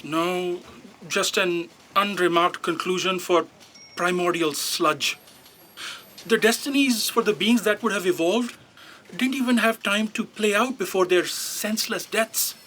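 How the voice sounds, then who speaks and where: Woman playing a sardonic, bright-voiced killjoy. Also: medium pitch, measured pace, slight background noise, close to an everyday voice. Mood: sardonic, dismissive. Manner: sardonic, dismissive. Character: dry, dismissive, deflating female colleague. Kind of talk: comedic sci-fi audio drama acting.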